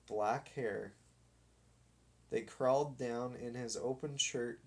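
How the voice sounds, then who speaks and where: Man, children's story narrator, very slow pace, medium pitch, deliberate, dramatic. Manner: deliberate, dramatic read-aloud. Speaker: storybook reader.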